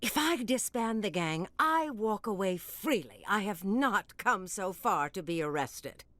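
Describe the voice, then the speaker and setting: An actress playing a cool, calculating female crime syndicate boss in a game, formal and measured, with veiled menace. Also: mid-range voice, expressive, a bit bright, no echo. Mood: calculating, threatening. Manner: formal, measured, smooth-talking and veiled in threat.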